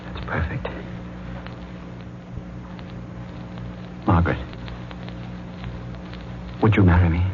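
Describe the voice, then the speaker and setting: A dull old radio recording carries a distinguished judge approaching fifty. His voice is low, measured and earnest, with a coldly calculating streak. He speaks formally, in the manner of a 1940s mystery drama. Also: background noise. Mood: ardent. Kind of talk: 1940s radio acting with first-person narration.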